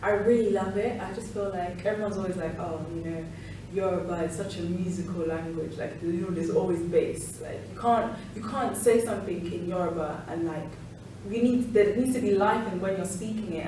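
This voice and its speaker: Chatty young woman in an echoey room. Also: mid-range voice. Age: young adult. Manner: chatty, many "like"s. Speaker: interviewee. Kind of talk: casual interview answers. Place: indoor room. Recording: echoey room, mic far off, noise.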